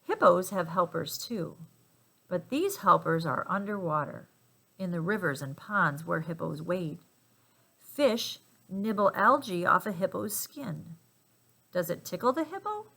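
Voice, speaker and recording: A woman reading a children's picture book aloud in an expressive, emphatic and playful way for young listeners. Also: fairly low pitch, a little slow, vocal fry. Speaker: storybook reader.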